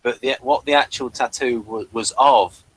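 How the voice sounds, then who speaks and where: A man's voice: mid-range voice, fairly even intonation, normal speed, husky, dry recording, poor audio. A man co-hosting a casual podcast chat about a TV episode, now and then reading out lines from a page. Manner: chatty, reads out bits from a page. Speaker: podcast co-host.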